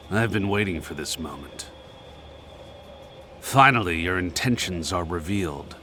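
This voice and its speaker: A man playing a scheming, self-satisfied, menacing villain in a video game cutscene, gloating deliberately. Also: sits low, sharp tone, slight background noise, heavily acted.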